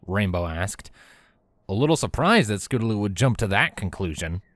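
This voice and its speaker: A man's voice: fairly low pitch, very animated, moderate pace, fairly bright tone, no echo. A man narrating a scripted story as its narrator, in a prose reading style.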